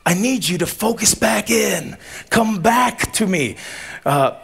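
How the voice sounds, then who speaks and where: Animated man preaching at a graduation. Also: high voice, takes it slowly, gravelly, husky. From Tonga. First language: Tongan. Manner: animated, joking, audience call-and-response. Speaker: commencement speaker. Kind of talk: lively commencement sermon. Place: outdoor tent stage at a graduation.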